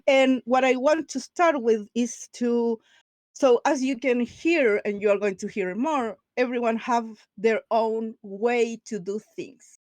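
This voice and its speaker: A woman from Chile with a Spanish accent, speaking English as a second language, moderating a casual panel chat. She talks in quick bursts, laughs often and restarts her sentences. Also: on the high side, a little mellow, no room sound.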